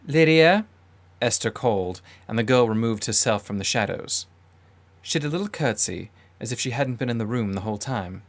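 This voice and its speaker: A man reading dramatic first-person fiction in a deliberate, literary manner. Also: on the low side. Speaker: audiobook narrator.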